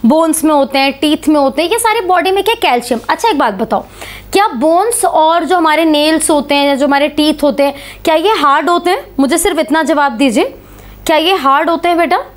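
A woman in her thirties teaching a biology class online, slightly hoarse from a sore throat, quizzing her students and coaxing them along. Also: high voice, a little fast. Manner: animated, asks students questions, calls them beta. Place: small indoor studio before a slide board.

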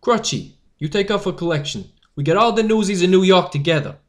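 Defiant streetwise newsboy leader, male actor, higher-pitched, commanding and slangy, for audio drama. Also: brisk, lightly acted.

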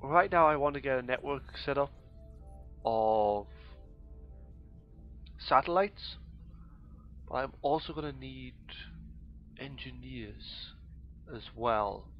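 A hoarse man, casually commentating a strategy game in a rambling, mock-grand way. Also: medium pitch, takes it slowly, pauses often, lo-fi sound, faint music behind. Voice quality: rambling, self-correcting, mock-grand phrasing. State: hoarse, losing his voice. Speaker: let's play gamer.

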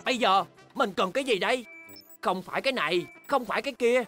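A woman voicing an excitable, boastful young bear cub in a children's cartoon, with exaggerated, childlike delivery. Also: a little high, fast, soft background music, lightly acted. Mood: boastful, scheming. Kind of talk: animated children's cartoon dubbing.

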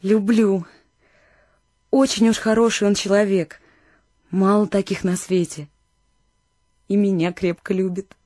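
A woman's voice: medium pitch, level tone, unhurried, pauses often, mellow, no echo, close to an everyday voice. Actress doing a frank young country girl in a radio drama, loving, proud, dry close sound. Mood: earnest, tender. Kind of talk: radio play acting.

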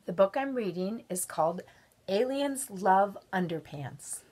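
A middle-aged woman reading a children's picture book aloud at home with expressive, drawn-out storytelling delivery. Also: mid-range voice, a little hiss or hum. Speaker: volunteer guest story reader. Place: small room at home.

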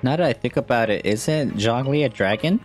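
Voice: medium pitch, normal speed, soft background music. A young adult streamer giving casual gameplay commentary and reading game text aloud.

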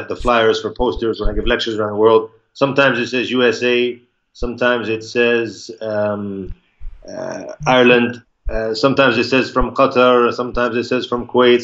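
A man's voice: medium pitch, level tone, moderate pace, fairly bright tone. An American man in his forties, an Islamic lecturer, talking through a video call and answering interview questions with lots of restarts and Arabic religious phrases. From United States (Virginia). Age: 40s. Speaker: guest speaker, Islamic lecturer. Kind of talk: live online interview. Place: indoor room, plain wall. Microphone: video call webcam. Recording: muffled lo-fi video call audio.

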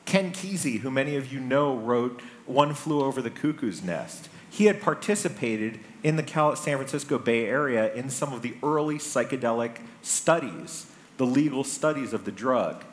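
A middle-aged man speaks to a conference from a stage, in a medium-pitched voice. He lays out his story in deliberate, emphatic phrases, pausing for effect. A headset mic picks him up with some noise behind. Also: a bit bright. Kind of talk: prepared public talk, storytelling lecture. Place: large conference hall stage. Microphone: headset microphone. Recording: stage talk with background noise.

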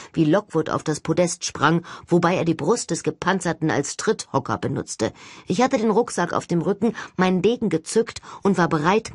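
A woman reading a novel as an audiobook narrator, with expressive scripted delivery. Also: low-pitched, husky, no echo, clean studio sound.

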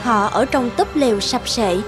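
A female storyteller with a fairly high voice reads a scripted fable. Music is clearly audible behind her narration. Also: expressive, unhurried.

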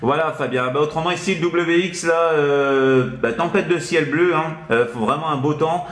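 A man's voice: a little high, moderate pace, fairly bright tone, echoey room. A man working as a radio operator, chatting casually and hesitantly over the air, often tagging his sentences. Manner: hesitant, tags sentences with "hein". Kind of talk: casual radio contact chat. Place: indoors in an apartment, at a radio set.

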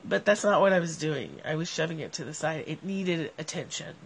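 Middle-aged woman, nasal with a cold, casual animated livestream host on a porch, phone mic. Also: low-pitched, fairly quick, a little hiss or hum, rough recording. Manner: animated, gestures a lot while talking. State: has a cold. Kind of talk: casual reflective talk to viewers. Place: covered outdoor porch. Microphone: phone at arm's length.

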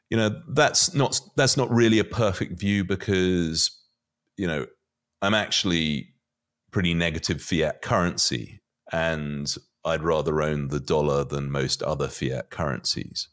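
A middle-aged man giving conversational market commentary as a guest, often halting and repeating words as he thinks. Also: deep voice. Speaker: podcast guest, finance commentator. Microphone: podcast mic on boom arm.

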